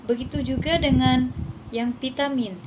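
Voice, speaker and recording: A woman's voice: high voice, fairly even intonation, unhurried, heavy background noise. A young woman student reading a class presentation, carefully spelling out letters and example words. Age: young adult.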